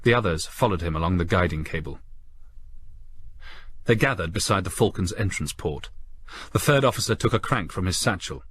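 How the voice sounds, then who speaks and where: A man reading a suspenseful story as an audiobook narrator, in a measured, grave, dramatic storytelling style. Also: deep voice, expressive, fairly bright tone, a little hiss or hum.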